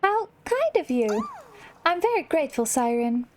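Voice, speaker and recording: Gracious female mouse for a game, voiced by a woman: high, lively, delicate, kindly. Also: moderate pace, a little hiss or hum. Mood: tender. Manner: polite, tender, delicate. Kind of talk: reading video game dialogue in character.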